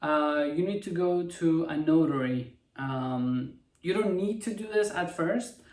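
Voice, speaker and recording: A man's voice: on the high side, unhurried, darkish tone. Man, easygoing, agreeable, casual vlog co-host, roomy echo, distant mic. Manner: easygoing, agreeable, adds details. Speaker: vlogger co-host. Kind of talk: casual explainer chat.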